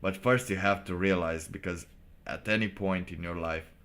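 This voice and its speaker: A man giving off-the-cuff commentary as a streamer, philosophizing in a halting, rambling and blunt way. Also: low-pitched, fairly even intonation, a bit bright. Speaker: live streamer reacting to motivational videos.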